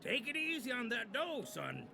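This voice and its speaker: A man voicing a gruff, demanding older village baker in a visual novel, gravelly and scolding in a folksy way. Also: very high voice, wildly swooping pitch, normal speed, exaggerated voice. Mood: gruff urging. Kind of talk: visual novel character acting. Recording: game audio under prominent music.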